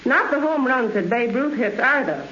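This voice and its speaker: A woman's voice: fairly high pitch, lively intonation, normal speed, a bit bright, slight background noise. A woman speaking as a radio guest, giving a scripted 1930s testimonial. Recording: echoey muffled 1930s radio relay.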